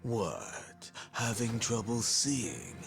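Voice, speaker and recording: An actor playing a menacing, mocking gang boss in a video game, with a gravelly, theatrical, taunting drawl. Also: male voice, mid-range voice, very animated, very slow, exaggerated voice. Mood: mocking menace. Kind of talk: video game cutscene acting. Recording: loud music under the voice.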